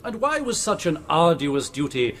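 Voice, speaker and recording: Casting: pompous, insistent period prosecutor, radio drama, very animated delivery, slightly high voice. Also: male voice, normal speed, a little hiss or hum. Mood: probing. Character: forceful, theatrical male prosecuting barrister.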